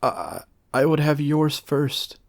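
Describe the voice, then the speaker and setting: A man's voice: a little high, animated, slow pace, vocal fry, voice pushed up, heavily acted. A man voicing a frightened young maiden from a storybook, pleading in a theatrical, dramatic way. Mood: fearful. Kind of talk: storybook character reading.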